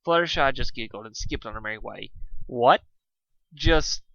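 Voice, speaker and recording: A man's voice: fairly low pitch, expressive, quick pace, creaky, sharp tone, no echo, dull audio. A man reading fan fiction aloud in an informal storybook style, stumbling over words and repeating phrases as he goes. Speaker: amateur fan fiction reader. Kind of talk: informal storybook narration with asides.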